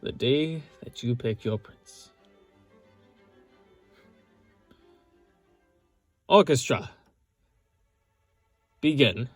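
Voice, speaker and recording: Man playing a husky, tender young knight, music behind. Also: mid-range voice, no echo. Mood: proud, eager. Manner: gentle, earnest, halting with pauses. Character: loyal young knight, the princess's tender childhood-friend lover. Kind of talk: intimate romantic ASMR audio roleplay.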